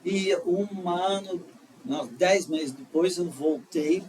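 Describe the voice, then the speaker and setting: Dutch-accented man, middle-aged, fairly high voice, halting storyteller, a bit off-mic, faint background noise. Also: darkish tone, recorded from a distance, poor audio. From Netherlands. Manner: halting, with frequent restarts and "é" fillers. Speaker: guest. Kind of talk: casual conversational storytelling.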